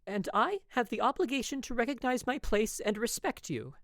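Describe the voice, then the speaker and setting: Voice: medium pitch, animated, normal speed, a bit bright, no echo, studio quality, voice pushed up. A performer voicing a shy, nervous, deferential woman of twenty in an audio drama. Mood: nervous, insistent.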